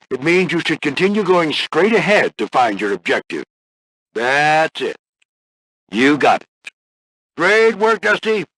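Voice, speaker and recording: An older American man voicing a gruff veteran warplane flight instructor in a video game, husky and folksy, coaching firmly but with encouragement. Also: on the high side, fairly bright tone, no echo, rough recording. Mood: encouraging. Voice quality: gravelly, husky. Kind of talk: scripted video game character lines.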